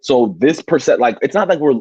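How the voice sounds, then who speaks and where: A man's voice: mid-range voice, expressive, fairly quick, darkish tone, breathy edge, no room sound. A man co-hosting a casual football podcast debate, blunt and emphatic, often repeating words as he drives his point home. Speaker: podcast co-host. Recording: dull, muffled audio.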